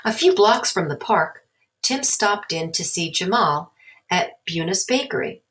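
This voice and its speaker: A woman's voice: on the low side, lively intonation, unhurried, a bit bright. A woman narrating a children's story aloud as a storybook reader.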